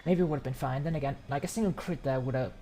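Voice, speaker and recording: A woman's voice: extremely low pitch, quick pace, over music. A woman game streamer giving casual live commentary, rambling as she thinks aloud.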